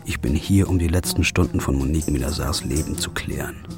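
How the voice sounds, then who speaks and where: A man playing a weary, haunted first-person narrator in a radio drama, with a dark, brooding delivery. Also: very deep voice, a little fast. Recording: music underneath.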